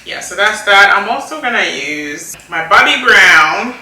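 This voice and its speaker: A woman from Rwanda in her thirties talks slowly and softly through a makeup routine, her bright voice drifting through fillers and restarts. She sits back from the camera mic in an echoey room. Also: medium pitch, expressive, recorded from a distance. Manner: soft-spoken, rambling, many fillers and restarts. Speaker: beauty vlogger. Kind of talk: casual chat while doing makeup.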